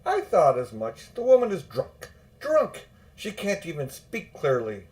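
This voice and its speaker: Prim, tough elderly aunt for a storybook, brisk and scolding, raised pitch male reader acting her. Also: huge pitch swings, a little mellow. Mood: indignant. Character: stern, tough old aunt, prim and indignant.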